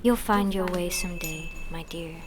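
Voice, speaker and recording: A man voicing a sweet, dreamy little girl with a soft, tender manner for a storybook. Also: high voice, fairly even intonation, normal speed, music in the background, pitched above the performer's own voice, lightly acted. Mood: wistful.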